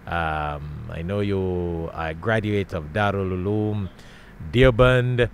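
A man's voice: deep voice, slow, a little hiss or hum. Middle-aged man hosting an interview. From Trinidad. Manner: warm repetitive phrasing with frequent restarts. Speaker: interview host. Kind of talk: casual interview. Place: studio with world map backdrop. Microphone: studio boom mic.

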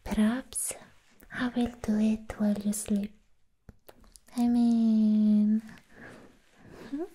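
A woman voicing a sweet, doting vampire girl in an ASMR roleplay, soothing the listener toward sleep in soft, breathy whispers. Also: fairly high pitch, level tone, full character voice, rough recording. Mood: playful, caring. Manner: slow, tender, soothing, repeating words.

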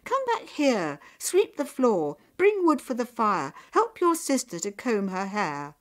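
Scolding storybook mother, played by a woman, sharp-tongued, hard and bossy. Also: low voice, expressive, slow, full character voice. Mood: angry scolding. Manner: sharp, commanding. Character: harsh, scolding mother who plays favourites.

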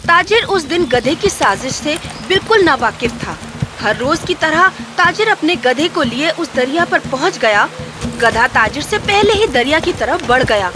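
A woman's voice: high-pitched, expressive, over music. A woman reading a scripted children's story as the narrator.

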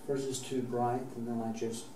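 A Norwegian man in his forties with a Norwegian accent, teaching oil painting in a loose, halting way as he works, stopping and restarting his sentences and swearing now and then. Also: mid-range voice, level tone, echoey room, distant mic, noisy background. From Norway. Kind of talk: casual painting demonstration. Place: art studio, indoors.

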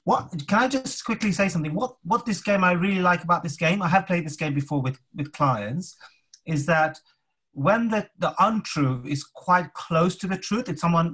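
A man's voice: a little high, moderate pace, fairly bright tone, slightly rough. A man talking casually as a workshop participant on a video call from a home room, thoughtful and hesitant, often restarting his sentences. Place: home room with map on wall. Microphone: laptop webcam on video call.

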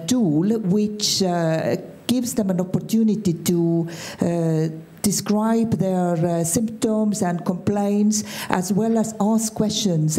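An older woman from Estonia with an Estonian accent, speaking as a conference panelist into a handheld mic, pausing often with fillers. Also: medium pitch, dark tone. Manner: hesitant, many filler sounds. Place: large conference hall stage. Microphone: handheld microphone.

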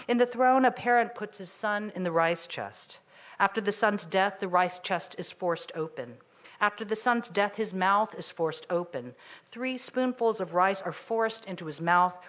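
A woman in her forties giving a poetry reading on a museum stage, speaking in a measured, deliberate way into a stand microphone. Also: medium pitch, a bit bright. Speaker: poet. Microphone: microphone on a stand at a lectern.

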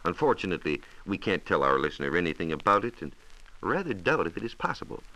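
An American man explaining science in a measured, formal way, as a reporter on a 1940s radio program. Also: sits low, sing-song, sharp tone, poor audio. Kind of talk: 1940s radio science talk, part scripted answers. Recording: 1940s radio transcription disc.

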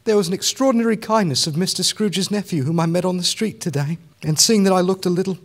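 Gentle bereaved father for radio play, male actor: high-pitched, tender, earnest, halting. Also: vocal fry. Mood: tender grief. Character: gentle, grieving, kindly working-class father. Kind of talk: live radio drama acting.

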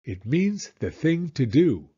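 A man's voice: on the high side, sing-song, slow pace, mellow, husky, muffled recording, voice pushed up, heavily acted. A man voicing a pompous, long-winded owl in a children's storybook, formal and emphatic. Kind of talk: children's storybook character reading.

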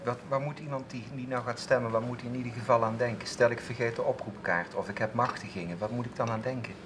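A man's voice: mid-range voice, fairly even intonation, fast talker, noisy background. A male co-host asking polite, formal questions in a local TV studio. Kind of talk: local TV interview. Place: TV studio with seated audience.

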